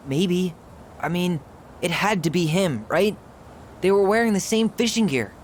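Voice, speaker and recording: An actor playing a guarded young American man in a video game drama, speaking casually and haltingly with some swearing. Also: sits high, lively intonation, a little hiss or hum. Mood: agitated, realising. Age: young adult. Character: young man, guarded, searching his troubled childhood memories.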